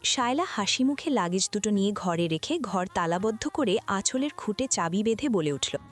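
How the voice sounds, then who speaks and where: A woman's voice: medium pitch, expressive, normal speed. A woman reading scripted story narration as the narrator. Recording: music behind the voice.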